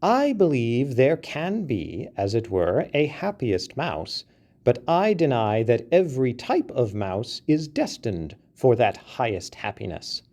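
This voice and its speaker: Shrill, argumentative little mouse, voiced by a man, lively pitch, mellow tone. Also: slow. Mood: lecturing. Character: indignant little male mouse.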